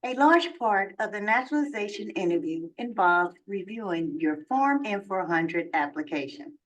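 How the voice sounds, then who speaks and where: An actress playing a formal immigration officer who runs an interview in a measured, procedural way, heard from a video played at a distance. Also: female voice, medium pitch, lively intonation, close to an everyday voice.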